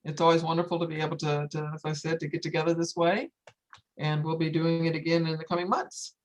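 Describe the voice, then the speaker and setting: A woman's voice: sits low, steady tone, quick pace. An older woman speaking earnestly and informally to an audience, stressing her points by repeating phrases. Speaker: wildlife sanctuary founder hosting a story time.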